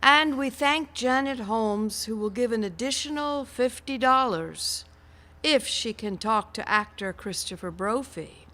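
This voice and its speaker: A woman as a church treasurer, reading out scripted fundraising announcements in a measured, deliberate way. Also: on the high side, pushed and bright.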